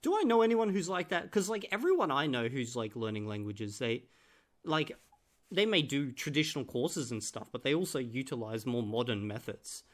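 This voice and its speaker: A man's voice: mid-range voice, very animated, moderate pace. A man giving casual, sweary reaction commentary in a blunt, sarcastic manner. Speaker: reaction video host.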